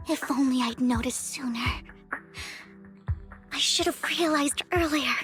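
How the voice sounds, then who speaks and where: A woman's voice: high voice, measured pace. A woman playing a devoted, earnest young woman in an anime-style game, dramatic and pleading in her delivery. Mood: remorseful. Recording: loud music behind the voice.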